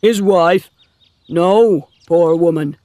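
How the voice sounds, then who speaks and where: A man reading the part of a sympathetic village laborer in an audiobook, in a gentle, confiding manner. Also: high-pitched, takes it slowly, no room sound, clean studio sound.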